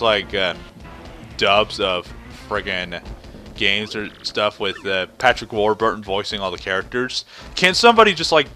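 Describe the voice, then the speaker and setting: A man's voice: lowish voice, pushed and bright. A man hosting a let's play with casual, rambling, goofy commentary over a video game. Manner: rambling, goofy, breaks into put-on voices.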